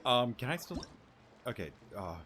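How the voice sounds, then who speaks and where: A man's voice: medium pitch, sing-song, moderate pace, a bit bright, slight background noise. A man giving casual let's play commentary, thinking aloud in fragments as he plays. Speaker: gaming streamer.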